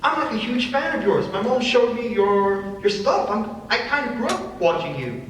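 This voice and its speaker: A man's voice: extremely high pitch, expressive, moderate pace, fairly bright tone. A man acting in a live reading as a starstruck young fan, nervous, gushing and stammering. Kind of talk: live dramatic script reading. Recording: distant echoey hall, faint noise.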